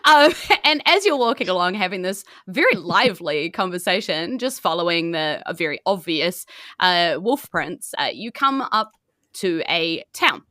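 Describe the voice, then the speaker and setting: A woman's voice: fairly low pitch, very animated, normal speed, sharp tone, no room sound, studio quality. A woman, improvising narration as the game master of a tabletop role-playing game.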